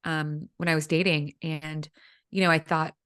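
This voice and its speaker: A woman's voice: low-pitched, little pitch movement, moderate pace, fairly bright tone, clean tone. A woman in her thirties whose first language is Vietnamese, a guest in a casual interview, talking chattily with many fillers. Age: 30s. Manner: chatty, frequent fillers like um and you know. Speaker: guest, executive coach.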